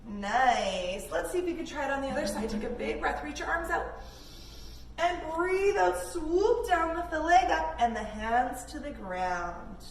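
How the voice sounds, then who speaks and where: High-voiced woman teaching kids' yoga, echoey room. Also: expressive, a little slow, gravelly. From Canada. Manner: encouraging, simple step-by-step instructions to children. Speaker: children's yoga instructor. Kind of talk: guided kids' yoga class following a story. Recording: echoey room, distant mic, background noise.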